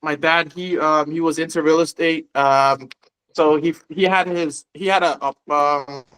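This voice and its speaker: A man's voice: a little high, steady tone, a little slow, a bit bright, smooth, rough recording. A man from Guyana, a podcast guest, talking casually about real estate and often repeating himself as he thinks. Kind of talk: casual conversation.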